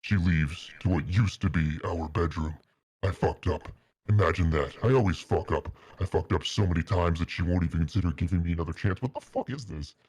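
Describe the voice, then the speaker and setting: A man's voice: low voice, a little fast, darkish tone, husky, dry recording. A man reading fan fiction aloud on a stream, mock-earnest and melodramatic, with a casual style. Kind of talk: dramatic narration with casual asides.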